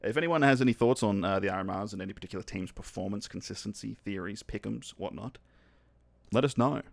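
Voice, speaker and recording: A man's voice: fairly low pitch, quick pace, creaky, no echo. A young Australian man co-hosting a podcast, bantering with self-mocking jokes and laughs. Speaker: podcast co-host. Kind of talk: casual chat.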